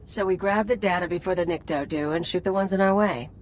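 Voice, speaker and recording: A woman's voice: lowish voice, fast talker, slight background noise, lightly acted. A woman playing a blunt, eager fighter companion in a video game, casual and cocky. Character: blunt, eager female mercenary companion, trigger-happy.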